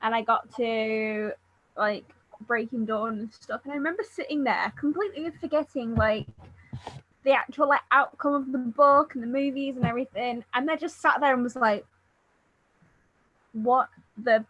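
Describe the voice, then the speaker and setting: A woman chatting casually about books in a halting, giggly way. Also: a little high, fairly bright tone, no room sound, highs cut off. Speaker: book readalong participant. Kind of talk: casual book discussion chat.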